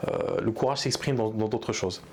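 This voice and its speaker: Low-voiced man giving hesitant, earnest advice. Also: moderate pace, a little mellow, slightly rough. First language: bilingual in Arabic. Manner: hesitant, many fillers and restarts, earnest. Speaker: coach for therapy practitioners. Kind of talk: unscripted advice talk to camera.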